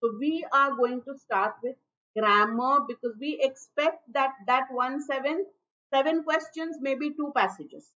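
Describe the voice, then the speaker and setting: A woman with a high, light voice teaches exam in a chatty way, with fillers and restarts. Her live stream sounds dull and muffled, as if through a weak mic. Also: unhurried, sharp tone. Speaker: English teacher for law exam prep. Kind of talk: online exam prep lesson. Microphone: low-level stream mic. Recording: dull, muffled livestream audio.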